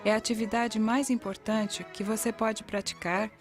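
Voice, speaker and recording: A woman's voice: fairly high pitch, little pitch movement, normal speed, soft background music. A woman with a Brazilian accent reading a scripted narration for an educational video.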